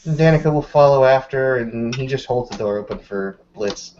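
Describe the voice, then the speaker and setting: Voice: mid-range voice, moderate pace, a little mellow, slight background noise. Tabletop roleplayer narrating, slightly muffled.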